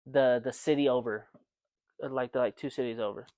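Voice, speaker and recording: A man's voice: mid-range voice, steady tone, brisk, a little mellow, no echo. A young man co-hosting a casual podcast chat, rambling with lots of fillers and restarts. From United States. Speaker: podcast co-host.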